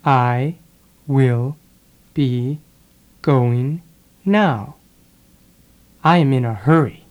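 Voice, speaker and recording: A speaker drilling English phrases for learners, saying each sentence and then repeating it one word at a time with pauses. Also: mid-range voice, lo-fi sound. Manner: deliberate, pausing after each word. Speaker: English teacher.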